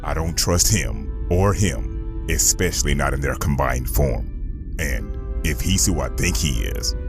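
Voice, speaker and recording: Man voicing a very deep, stern robot officer. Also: creaky, full character voice. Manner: pedantic, disapproving. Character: stern, rule-bound male robot military officer, humourless. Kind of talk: motion comic character acting.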